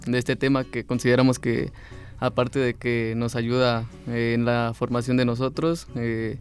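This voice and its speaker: A young man, a student guest, speaking hesitantly with many pauses and fillers. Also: mid-range voice, level tone, soft background music.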